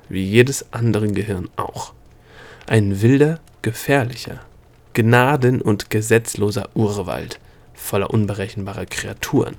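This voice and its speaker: A man reading an audiobook, voicing an excitable male gnome who lectures and cackles. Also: lowish voice, vocal fry. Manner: cackling, lecturing, worked up into a rage. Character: excitable male gnome with color-changing scales.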